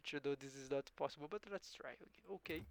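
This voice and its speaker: A man's voice: fairly high pitch, vocal fry, no room sound. A man whose first language is Portuguese, thinking aloud hesitantly while playing a strategy game. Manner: slow, musing, hesitant, self-correcting. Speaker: game streamer.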